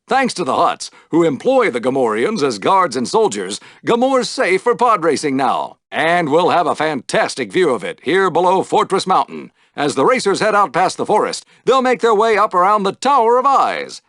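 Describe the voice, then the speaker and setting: An actor playing an exuberant, wry podrace announcer in a video game, with a showy, dramatic broadcast delivery. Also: male voice, a little high, a bit bright, no room sound, clean studio sound. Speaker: sports announcer. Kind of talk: theatrical sports commentary for a video game.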